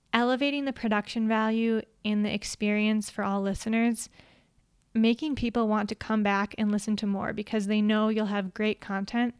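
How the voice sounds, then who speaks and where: A woman with a quiet voice, hosting a podcast and reading from a prepared script at an even, measured pace into a studio microphone. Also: medium pitch, clear, resonant tone, no room sound. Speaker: podcast host. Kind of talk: scripted solo talk.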